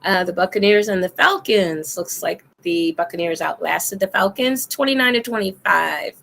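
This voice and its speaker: Hoarse woman with a head cold. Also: mid-range voice, moderate pace, a bit bright. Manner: chatty, laughs often, many "um"s. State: sick with a cold, deep hoarse voice. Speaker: co-host. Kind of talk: casual chat.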